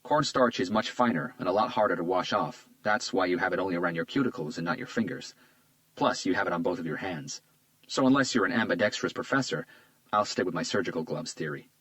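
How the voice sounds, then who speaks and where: A man voicing a low-key male detective. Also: lively intonation, fairly quick, fairly bright tone, dry recording, rough recording. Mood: matter-of-fact. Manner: modest, matter-of-fact. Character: observant understated male detective.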